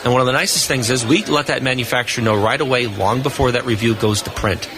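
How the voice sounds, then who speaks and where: A man's voice: medium pitch, quick pace. A man giving unscripted, explanatory answers as a technical guest in a live interview. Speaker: radio equipment test engineer, interview guest. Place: booth on a convention hall floor. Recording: noisy busy convention floor background.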